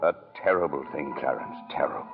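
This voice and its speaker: Senior angel, deep and grave yet kindly, storytelling over music in vintage radio drama. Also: male voice, fairly quick. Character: solemn, kindly male heavenly superior angel narrating. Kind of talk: 1940s radio drama narration. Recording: tinny old radio broadcast.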